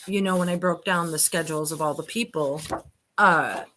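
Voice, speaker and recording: A woman's voice: sits low, normal speed, noisy background. A woman reading a transcript aloud and commenting informally, addressing listeners directly with skeptical asides. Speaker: online commentator and host.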